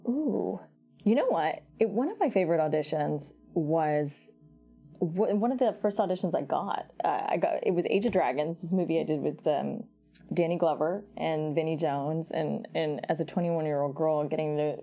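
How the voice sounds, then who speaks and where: A young woman with a low, gravelly voice tells her audition stories in a relaxed interview. She laughs often, stumbles and restarts her sentences, and her storytelling stays lively. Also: moderate pace, lo-fi sound, faint music behind. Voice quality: deep voice for a woman. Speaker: actress guest. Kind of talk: casual interview chat.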